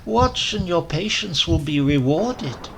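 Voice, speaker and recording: A man performs a fanatical professor from a horror audiobook. He speaks slowly and imperiously, drawing out his phrases with a gravelly edge. There is clear noise on the recording. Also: on the high side, expressive, pitched above the performer's own voice, full character voice. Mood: smug, exalted. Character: exalted, ruthless male scientist, fanatical and imperious.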